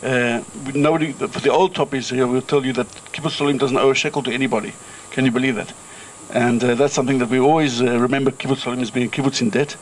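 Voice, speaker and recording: A middle-aged South African man tells stories and thanks the crowd in a loose, rambling way, full of ums and restarts. His voice sits at an ordinary pitch, and faint insect and cricket noise hums in the outdoor air behind him. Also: fairly even intonation, fast talker, few pauses, rough recording. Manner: chatty anecdotal storyteller with filler-laden restarts. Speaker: kibbutz chairman. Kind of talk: speech at an outdoor reunion gathering.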